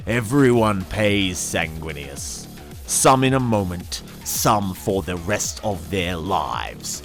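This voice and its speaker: A man reading dark science fantasy as dramatic narration, with theatrical, menacing emphasis and deliberate pauses. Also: sits low. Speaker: narrator reading an audiobook excerpt. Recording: music under the voice. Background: dramatic background music.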